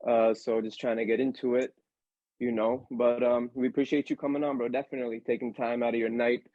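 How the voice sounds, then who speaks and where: A young man hosts a casual podcast in a relaxed, slangy way and hypes up his guest. His mid-range voice comes through a dull, dry, lo-fi mic. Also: medium pitch, fairly quick, no room sound. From Allentown, Pennsylvania, USA. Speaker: podcast host.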